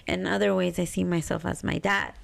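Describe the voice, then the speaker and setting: A woman's voice: lowish voice, fairly even intonation, brisk, vocal fry. A woman with a Mexican American accent, co-hosting a podcast and rambling as she thinks aloud and searches for words. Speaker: podcast co-host. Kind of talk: casual chat.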